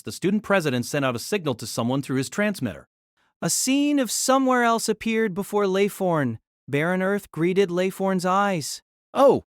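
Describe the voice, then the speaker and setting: Expressive male drama narrator. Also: no room sound, clean studio sound. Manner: expressive storytelling with lively pitch. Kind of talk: scripted audiobook narration.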